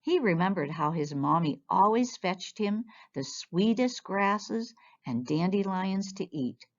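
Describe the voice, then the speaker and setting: A woman reads a picture book to children with a lively, expressive swing in her medium-pitched voice. The recording is a little dull and lo-fi. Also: measured pace. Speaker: children's storyteller. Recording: dull, lo-fi sound.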